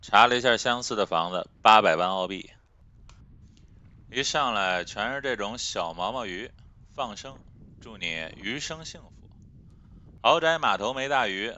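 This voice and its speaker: A man's voice: fairly low pitch, no room sound, muffled recording. A man narrating his fishing vlog in a dry, joking tone. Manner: wry, joking, deadpan asides. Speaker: fishing vlogger. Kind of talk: humorous voice-over narration.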